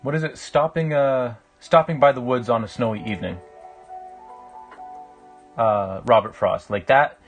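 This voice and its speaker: A man, chatting casually with livestream viewers in a reflective, halting way as he thinks aloud. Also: medium pitch, steady tone. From Canada. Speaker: live-streaming painter. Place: small indoor room with a painting on the wall. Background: music.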